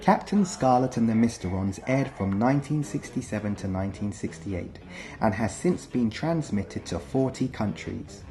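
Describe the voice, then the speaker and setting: Lively male narrator over music. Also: lowish voice, moderate pace, rough recording. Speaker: narrator of a countdown video. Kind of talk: scripted documentary narration.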